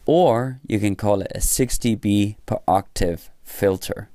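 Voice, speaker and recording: A man giving an informal hands-on tutorial as an instructor, thinking aloud in halting short phrases. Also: on the low side, mellow, no room sound, studio quality. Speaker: electronics instructor. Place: small indoor room at a workbench.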